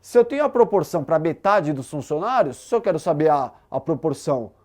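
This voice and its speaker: Brazilian male math teacher, lively intonation. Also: fairly high pitch, brisk. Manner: didactic, checks understanding with short tag questions. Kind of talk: explanatory exam-prep lesson.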